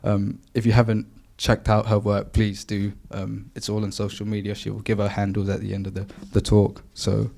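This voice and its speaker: A man introducing a guest speaker at an event, halting with many fillers. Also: fairly low pitch, monotone, gravelly, a little mellow. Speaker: event host.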